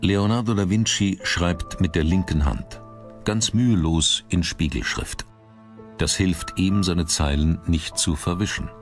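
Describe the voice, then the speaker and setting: A man reading scripted documentary narration in a measured, dramatic manner. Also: sits low. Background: background music.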